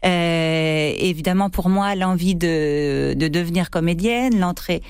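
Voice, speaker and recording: A woman's voice: fairly low pitch, a little slow, a little mellow, smooth, no room sound. A middle-aged French woman, an actress and theatre co-director, answering radio interview questions hesitantly with many fillers and restarts. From France.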